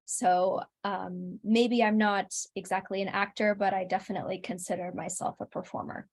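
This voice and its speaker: Young woman, casual medium-pitched interview guest. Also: little pitch movement, normal speed.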